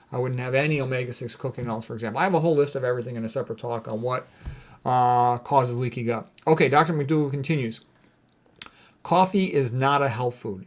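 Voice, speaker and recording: A man lecturing informally as a video host, reading out quotes and commenting on them in a blunt, opinionated, conversational way. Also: mid-range voice.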